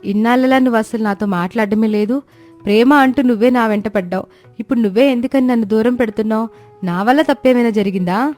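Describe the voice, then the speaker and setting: A woman voicing a gentle, devoted young orphan woman in a cartoon story, earnest and pleading in manner. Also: fairly high pitch, fast talker, faint music behind, close to an everyday voice. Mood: hurt, pleading.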